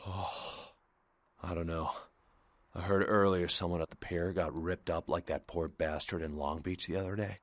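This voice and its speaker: Man playing a low-voiced, hesitant slacker shopkeeper. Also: fairly even intonation, normal speed, no room sound, close to an everyday voice. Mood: uneasy. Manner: hesitant, rambling stoner drawl. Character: nervous, spaced-out slacker male pawn shop owner. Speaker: shopkeeper character in a video game. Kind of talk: video game voice acting.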